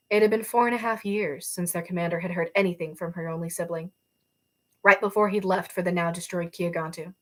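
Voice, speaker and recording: A woman narrating fiction expressively, as an author reading her own novel aloud. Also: on the low side. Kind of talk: expressive audiobook-style narration.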